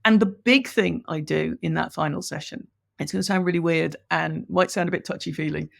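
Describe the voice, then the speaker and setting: A woman's voice: on the low side, gravelly. A woman from Ireland with an English accent, chatting enthusiastically as a podcast guest and laughing as she explains. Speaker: guest, business coach. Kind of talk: conversational podcast interview.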